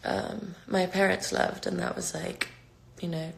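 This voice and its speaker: A woman's voice: lowish voice, little pitch movement, a little slow, creaky. A woman in her twenties with a London accent, casually answering fan questions on a phone livestream, rambling with lots of fillers and restarts. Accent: London English. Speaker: singer answering fans' questions. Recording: echoey room on a phone livestream.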